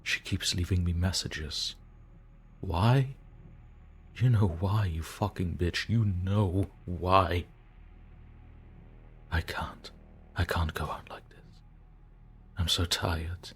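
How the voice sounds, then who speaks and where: A man's voice: deep voice, slow pace, a bit bright, slight background noise, heavily acted. A man voicing a tormented, terrified man who whispers, pleads and breaks into sobs. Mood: haunted whisper. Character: haunted, terrified man writing a journal.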